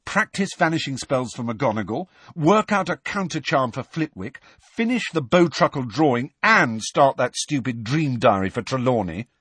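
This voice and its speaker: A man's voice: medium pitch, sing-song, normal speed, few pauses, a bit bright, husky, no echo, voice pushed up. A man voicing a weary, glum teenage boy wizard who talks with dry sarcasm.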